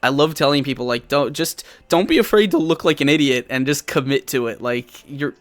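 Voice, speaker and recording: A man's voice: mid-range voice, a little fast. A man talking as a guest on a live stream about running tabletop games, eager, repeating words and laughing easily. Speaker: guest game master and streamer. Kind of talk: casual live-stream chat.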